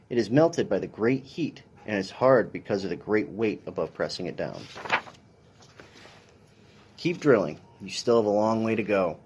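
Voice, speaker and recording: Casting: man with a lower, husky voice, reading a picture book to children, instructional and a little dry. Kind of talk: read-aloud of a children's science picture book.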